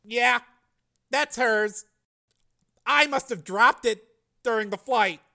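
Weary young man, quiet and slow, bright tone, from a male narrator. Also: very high-pitched, vocal fry, voice pushed up, exaggerated voice.

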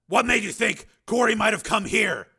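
A hard-edged man who has become a machine, raising his voice sharply and pressing every point, as a male reader puts him on. The tone is tense and high, with a rough gravelly grain. Rendered as a dramatized audiobook. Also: animated, normal speed, exaggerated voice. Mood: stern. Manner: forceful, shouting, insistent.

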